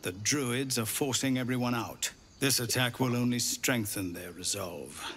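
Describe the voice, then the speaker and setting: A man's voice: mid-range voice, unhurried, a bit bright, slight background noise, lightly acted. A man playing a stern, weary, principled fighter in a fantasy video game, earnest and grave.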